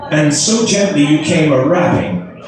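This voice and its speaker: A man playing an anxious, mournful, courtly gentleman haunted at midnight in a gothic poem reading. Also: on the high side, measured pace, voice pushed up, natural voice. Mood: apologetic, courtly. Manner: theatrical, courtly, agitated. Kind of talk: dramatic gothic poetry reading. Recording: very echoey, crowd-like background sound.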